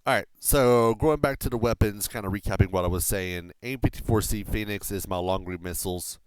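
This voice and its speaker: A man's voice: lowish voice, fairly quick, clear, resonant tone, dry recording. A man streaming a flight combat game, explaining it casually on a desk mic, rambling with lots of fillers and the odd swear word. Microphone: desk microphone through a mixer.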